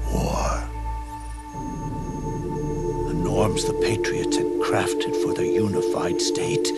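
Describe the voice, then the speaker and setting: Very deep, gravelly old soldier. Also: male voice, heavily acted. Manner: grave, deliberate, dramatic pauses. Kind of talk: dramatic video game cutscene monologue. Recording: loud music under the voice. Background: loud music.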